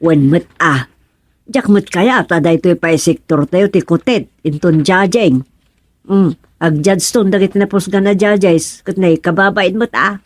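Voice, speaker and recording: A woman's voice: fairly low pitch, unhurried, dry recording. A woman playing a busy, friendly village organizer in an Ilocano radio drama-comedy.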